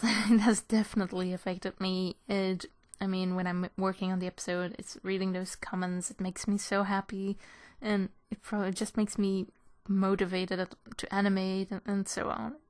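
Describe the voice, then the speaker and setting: Creaky-voiced woman. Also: mid-range voice. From Belgium. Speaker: animator answering viewers' questions. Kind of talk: casual question-and-answer chat. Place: in a room at home.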